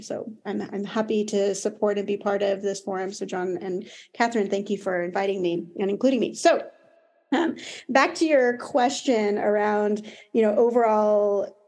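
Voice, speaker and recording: A woman's voice: mid-range voice, dark tone. A woman with an American accent speaking conversationally as an executive panelist in an online discussion. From United States.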